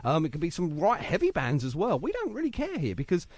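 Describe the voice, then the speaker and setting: A man's voice: on the high side, wildly swooping pitch, fast, darkish tone, no room sound. A man hosting a radio show in casual interview chat, talking in a chatty, enthusiastic and effusive manner.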